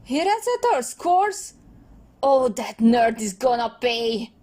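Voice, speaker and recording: Woman playing a slow-paced, slangy teen gamer brother. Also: on the high side, big pitch swings, mellow, heavily acted. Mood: indignant. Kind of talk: comic dub character acting.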